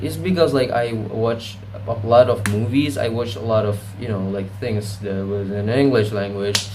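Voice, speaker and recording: Young man, casual vlog monologue, rambling, self-correcting, mid-pitched voice. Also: darkish tone, slight background noise. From Indonesia. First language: Indonesian. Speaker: vlogger.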